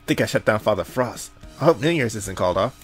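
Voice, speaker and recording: A man acts out teasing young women in a visual novel. The delivery is playful and exaggerated, lifted well above a natural low register. Music runs underneath. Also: animated, fast, lightly acted. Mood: excited teasing. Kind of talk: character reading in a visual novel playthrough.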